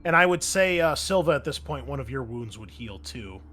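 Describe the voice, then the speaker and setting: A man's voice: mid-range voice, lively intonation, brisk, a bit bright, a little hiss or hum. A man running a tabletop roleplaying game as game master, casually narrating scenes and explaining rules. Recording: faint music behind the voice. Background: music.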